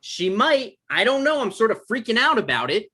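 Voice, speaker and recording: A man's voice: very high voice, sing-song, moderate pace, pushed and bright, slightly rough, heavily acted. A man acting an anxious, easily flustered novelist in a conversational comedy script reading. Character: anxious, defensive male novelist in his thirties.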